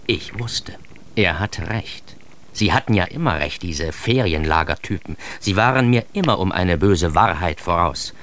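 A man's voice: sits low, animated, measured pace, fairly bright tone. An actor playing a self-deprecating, bitter grown son who narrates his youth in a confessional radio-play monologue, addressing the listener directly. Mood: storytelling. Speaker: narrator and protagonist of a radio drama.

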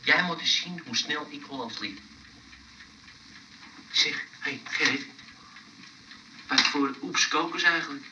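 A man's voice: a little high, a little slow, long pauses, background noise, natural voice. An actor playing a sly, cocky man who poses as a foreign newcomer, terse and offhand, in a radio play. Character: sly, cocky man posing as a newly arrived Greek. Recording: roomy, muffled, distant radio play recording.

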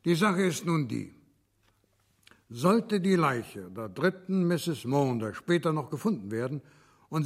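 A stern judge in a radio drama. His voice sits a little high for a man. He speaks with formal, deliberate authority, as if every ruling is weighed. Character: stern, measured English judge delivering a ruling.

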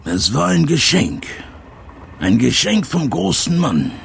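An actor playing a bitter old jazz trumpeter, broken and haunted, rambling and repeating himself in a German radio drama. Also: male voice, fairly high pitch, very animated, takes it slowly, gravelly, a little hiss or hum, heavily acted. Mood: nostalgic.

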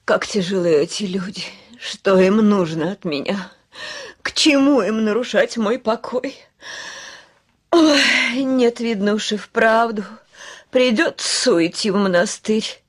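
An actress playing a theatrically grieving young widow of the gentry in a radio play, plaintive, sighing and affectedly refined. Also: female voice, mid-range voice, slow pace. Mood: weary, self-pitying. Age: young adult. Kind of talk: classic radio play acting.

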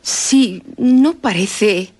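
A woman's voice: medium pitch, drawn-out words, a little mellow, slightly rough. A woman playing a deferential, dutiful governess in a radio drama, speaking politely and formally.

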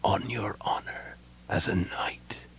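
A man voicing a big, gentle old innkeeper, fatherly and frail, speaking softly and haltingly with a breathy, earnest delivery. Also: on the low side, expressive, a little hiss or hum. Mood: urgent, fading.

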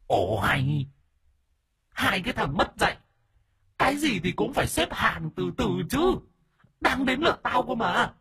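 A man voicing a whiny, pitiful male ghost with a shrill, wailing voice. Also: big pitch swings, measured pace, long pauses, pushed and bright, breathy edge, full character voice. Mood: indignant. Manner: shrill whining.

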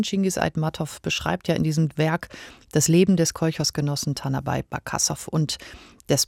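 Higher-voiced male radio presenter, creaky edge. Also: darkish tone, no echo, studio quality. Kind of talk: scripted presentation. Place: radio studio.

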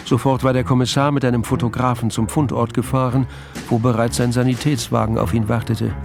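A man's voice: medium pitch, little pitch movement, fairly quick, gravelly, darkish tone, soft background music, natural voice. A man narrating a crime radio play in composed, literary scripted prose. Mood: composed, suspenseful.

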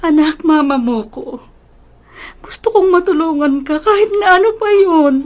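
A woman's voice: very high-pitched, moderate pace, a little mellow. A woman playing a devoted, distraught mother in a radio drama, emotional and imploring. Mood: worried, coaxing. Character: devoted, distraught middle-aged mother defending her son.

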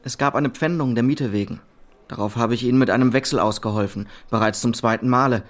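A man's voice: mid-range voice, fast talker, close to an everyday voice. A man playing a good-natured, patient young man in a radio drama, mild, earnest and chatty. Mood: matter-of-fact. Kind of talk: German radio drama acting.

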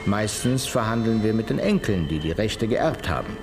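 An actor playing a talkative, cynical musical producer in a radio crime drama, telling things expansively. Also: male voice, fairly low pitch, lively intonation, normal speed, background noise. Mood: businesslike.

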